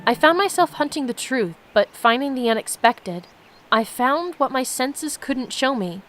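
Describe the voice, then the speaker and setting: A woman reading aloud the journal of a reflective, solitary man in his nineties, measured and solemn. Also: high-pitched, expressive, a bit bright. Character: reflective, solitary 92-year-old man's written journal.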